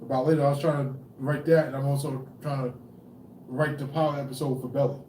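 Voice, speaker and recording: A man in his thirties co-hosting a podcast, talking quickly in an animated way with lots of stammered restarts. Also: medium pitch, echoey room, a little hiss or hum, rough recording. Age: 30s. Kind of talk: casual chat.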